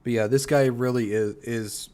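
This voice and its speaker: A man reacts to videos in a casual, opinionated way. His voice sits at an average pitch. He is blunt and peppers his commentary with profanity. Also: steady tone, normal speed, darkish tone. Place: livestream reaction recording.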